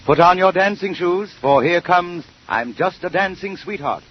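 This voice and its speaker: A man's voice: high voice, animated, normal speed, loud music behind the voice. An American man announcing a sponsored 1930s radio program from a script, in a formal, emphatic salesman's delivery on an old radio recording. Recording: muffled, noisy early 1930s radio recording.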